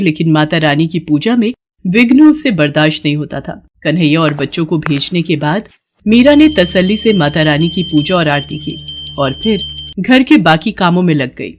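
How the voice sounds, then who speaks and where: A woman narrating a scripted moral story as a storyteller. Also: fairly low pitch.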